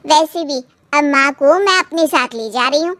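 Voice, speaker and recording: Woman acting a forthright, high-voiced sister-in-law. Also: normal speed, fairly bright tone, dry recording. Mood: pointed. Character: brisk, forthright adult sister-in-law visiting home. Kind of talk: animated moral story voice acting.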